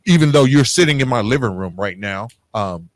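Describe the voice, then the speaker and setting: A man's voice: mid-range voice, lively intonation, brisk, dry recording. A man hosting a podcast interview in a casual, chummy, conversational way. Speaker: podcast host interviewing a guest. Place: living room at home.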